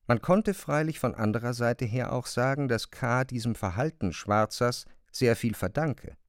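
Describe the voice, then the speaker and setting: A man reading literary narration for a radio drama, with measured, deliberate phrasing and pauses. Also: mid-range voice, dry recording, clean studio sound.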